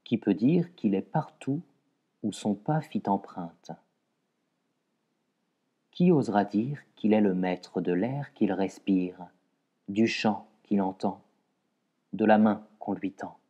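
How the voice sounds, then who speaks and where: A man reciting poetry in a measured way, pausing between short phrases. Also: lowish voice, mellow, slightly rough, dull audio. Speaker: poetry reader.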